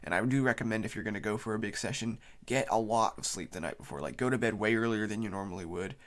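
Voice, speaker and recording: A man's voice: on the low side, quick pace. A man with a cold, sounding tired, gives a casual unscripted explainer as a video host, rambling loosely as he goes. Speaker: YouTube host.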